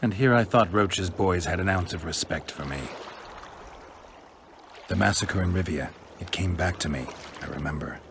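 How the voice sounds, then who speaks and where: Game casting: gruff, calm mercenary hunter, very low male voice, dry and terse, clear water ambience behind. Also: moderate pace, noisy background. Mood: sombre, dry. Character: calm, gruff monster-hunting mercenary with returning memories. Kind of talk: video game cutscene acting.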